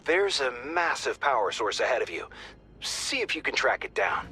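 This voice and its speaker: An actor playing an alert, businesslike and slightly wry mission handler in a video game, giving scripted tactical updates. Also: male voice, mid-range voice, bright, forceful tone, slightly rough, heavily acted.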